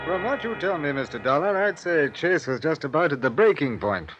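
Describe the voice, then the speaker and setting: Measured police inspector, muffled old radio. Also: male voice, fairly high pitch, lively intonation, fairly bright tone, faint music behind. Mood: brisk, businesslike. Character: businesslike, matter-of-fact male colonial police inspector. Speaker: supporting actor. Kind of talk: 1950s detective radio drama acting. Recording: muffled vintage radio recording.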